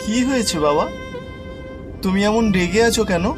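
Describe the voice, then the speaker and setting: A man voicing a hurt, pleading transgender villager of twenty in an animated story, talking in a plaintive, protesting way. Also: sits high, measured pace, over music, pitched above the performer's own voice. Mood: anxious. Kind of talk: acted dialogue in animated story.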